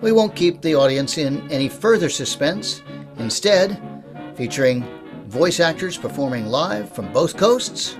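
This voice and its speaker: A man's voice: on the high side, animated, a little slow, music in the background. A man speaking as the host of an interview, putting questions and introducing the program. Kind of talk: interview hosting.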